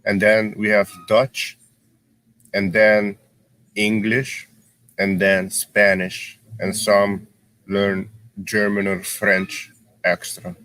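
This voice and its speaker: A man's voice: lowish voice, completely flat delivery, drawn-out words, pauses often, a bit bright, rough recording. A man from Aruba with an Aruban accent, Papiamento his first language, explaining things unhurriedly in casual chat. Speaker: live-stream viewer.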